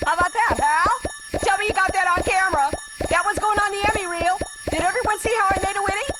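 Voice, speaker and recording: A woman voicing a theatrical, self-satisfied, teasing bee who hosts a talk show in an animated game. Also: extremely high pitch, fast, loud music behind the voice. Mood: self-delighted. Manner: showy, teasing interviewer patter.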